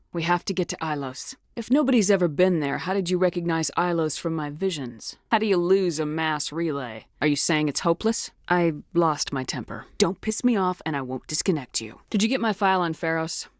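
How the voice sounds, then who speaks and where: Actress doing a tough female space captain from a game, lowish voice, clipped and commanding, super dry sound. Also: dry recording, close to an everyday voice. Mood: firm, irritated. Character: tough, resolute female military starship commander. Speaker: video game voice actor. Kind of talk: video game character dialogue.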